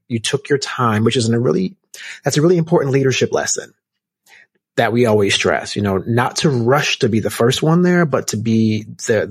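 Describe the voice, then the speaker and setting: A man's voice: mid-range voice, husky. A man hosting a podcast interview, affirming his guest and reflecting on leadership lessons. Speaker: podcast host.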